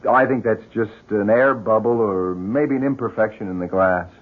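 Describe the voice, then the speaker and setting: An actor playing a friendly, mild-mannered neighbour in a 1970s radio drama, affable and a little hesitant. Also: male voice, lowish voice, normal speed, natural voice. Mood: curious, puzzled. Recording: muffled, tinny 1970s radio broadcast.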